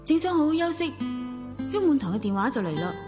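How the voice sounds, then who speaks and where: A woman's voice: sits high, sing-song, fast talker. A woman with a Hong Kong Cantonese accent acting a worldly, guarded divorced younger sister in a radio drama, nonchalant and wry, with wistful first-person narration. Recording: long reverb, music underneath. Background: music.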